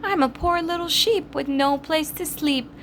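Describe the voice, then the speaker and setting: A woman's voice: sits high, measured pace, a bit bright, a little hiss or hum, voice pushed up. A woman voicing a storybook wolf who pretends to be a poor little lamb, in a sweet, pleading little voice. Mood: sweetly pleading.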